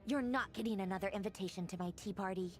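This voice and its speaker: A woman voicing a haughty, sharp-tongued young inventor in a video game, bossy and theatrical as she commands her machines. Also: medium pitch, fast talker, faint music behind, natural voice. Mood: haughty, irritated. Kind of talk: video game character acting.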